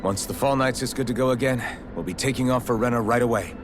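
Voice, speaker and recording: A man's voice: mid-range voice, fast talker, music in the background, natural voice. An actor playing a determined young male hero and leader in a video game, firm, plainspoken and earnest. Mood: earnest, resolved.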